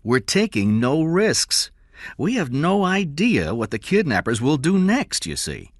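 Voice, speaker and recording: A man voicing a calm, matter-of-fact police detective in an audiobook thriller, blunt and measured. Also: fairly high pitch, no room sound, clean studio sound, pitched above the performer's own voice.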